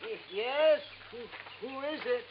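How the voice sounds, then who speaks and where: A man's voice: extremely high pitch, slow pace. An actor playing a nervous, timid older male scientist who stammers and hesitates, in 1950s radio drama acting. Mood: flustered. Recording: dull noisy old radio recording.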